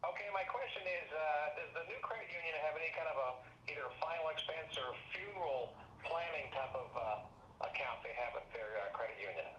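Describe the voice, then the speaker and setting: A man's voice: fairly high pitch, a little fast, fairly bright tone. A man calling in to a conference call to ask a question. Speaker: caller asking a question. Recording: echoey, muffled phone-in with background noise.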